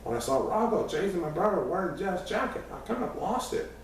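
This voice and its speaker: A man's voice: high voice, big pitch swings, fairly quick. A man reading a first-person youth story aloud as scripted narration, now and then stumbling over a word. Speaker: audiobook reader. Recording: very echoey room, distant mic, faint noise.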